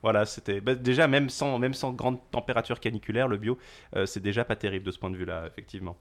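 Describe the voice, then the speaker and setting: A man's voice: fairly low pitch, fast. A young man hosting a casual chat show, joking and rambling with many restarts. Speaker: podcast host.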